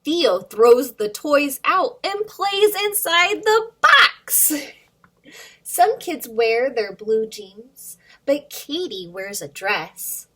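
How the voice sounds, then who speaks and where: A woman's voice: high voice, big pitch swings, very slow, fairly bright tone. A woman hosting storytime for young children, reading aloud expressively with sing-song emphasis and addressing questions to young listeners.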